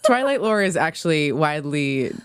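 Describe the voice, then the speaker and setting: A woman with a slightly low voice talks casually as a podcast guest. Her intonation swings widely, giving the chat an animated sound. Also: moderate pace, clear, resonant tone.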